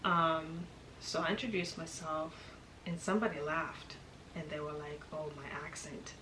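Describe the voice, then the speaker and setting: A young woman from Kenya tells a personal story in a relaxed, chatty way, her voice sitting a little low. She laughs often. The room is echoey, and steady background noise sits behind her. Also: unhurried. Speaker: vlogger. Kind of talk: casual storytime chat.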